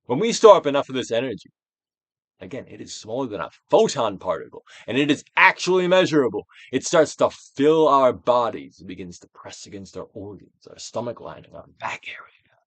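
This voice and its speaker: Theatrical man reading with sweeping, dramatic pitch. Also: big pitch swings, brisk, no echo. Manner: theatrical, emphatic, swinging from hushed near-whispers to loud projection. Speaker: audiobook reader, actor. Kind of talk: dramatic reading of a self-help book.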